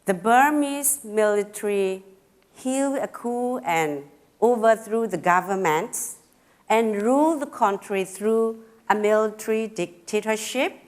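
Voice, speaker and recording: A middle-aged woman from Burma with a Karen accent, giving a personal talk in simple, halting phrasing with pauses and fillers, a little nervous. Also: mid-range voice. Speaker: speaker at a talk.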